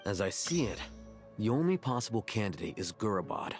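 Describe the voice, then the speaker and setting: A man voicing a level-headed, knowledgeable desert traveller in an anime game, explaining in a measured way. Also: lowish voice, sing-song, faint music behind. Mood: thoughtful.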